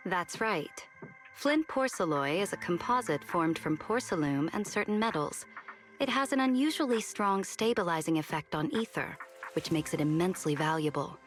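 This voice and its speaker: Woman playing classy teasing game lady, lowish sultry voice w a slight rasp, faint music under it. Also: animated, lightly acted. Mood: composed, serious. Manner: measured, composed, silky. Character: elegant, poised, teasing adult female official. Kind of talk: video game voice acting.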